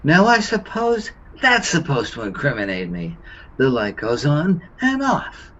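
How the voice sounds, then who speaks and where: A man's voice: on the high side, huge pitch swings, unhurried, pushed and bright, dull audio, exaggerated voice. A man playing an accused suburban husband, bewildered, defensive and indignant, in a radio play.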